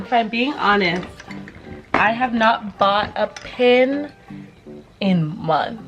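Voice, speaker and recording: A woman's voice: a little high, muffled recording. A woman in her twenties with an American accent chatting casually and informally to camera as a vlogger, in a bubbly way. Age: 20s. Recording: music playing behind the voice.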